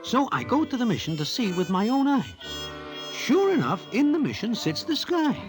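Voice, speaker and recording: An actor playing a streetwise gambler's sidekick and storyteller in an old-time radio comedy, with a New York accent and stilted, formal slang delivered deadpan. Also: male voice, very high voice, normal speed, over music. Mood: wry storytelling.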